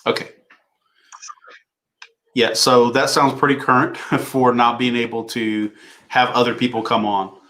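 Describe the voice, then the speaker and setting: A man hosting a casual livestream Q&A, talking things through in a relaxed way with plenty of fillers. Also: mid-range voice, unhurried, long pauses. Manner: relaxed, thinking aloud with fillers. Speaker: host.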